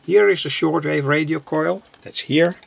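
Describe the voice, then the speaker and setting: A man explaining a hands-on demonstration plainly, in halting short phrases, as an electronics hobbyist presenter. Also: a little high, gravelly, husky. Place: small cluttered indoor electronics workbench.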